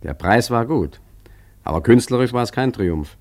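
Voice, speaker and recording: A man's voice: medium pitch, expressive, normal speed, darkish tone, close to an everyday voice. An actor in a radio play playing an urbane art forger who tells his story in a chatty, wry, self-satisfied way. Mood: dry, amused.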